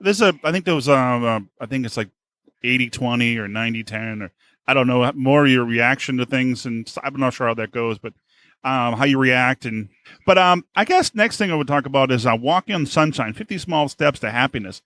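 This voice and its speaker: A man hosting a casual podcast interview, haltingly searching for his words. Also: mid-range voice, animated, fairly bright tone, no room sound. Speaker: podcast host.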